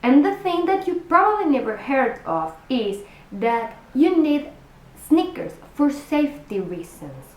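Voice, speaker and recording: Slow-talking Argentine woman in an echoey room. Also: high-pitched, big pitch swings, a little mellow. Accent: Argentine Spanish. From Argentina, Buenos Aires. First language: Spanish. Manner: chatty, restarts and self-corrections, direct address to viewers. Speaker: cruise vlogger. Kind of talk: casual packing-tips vlog.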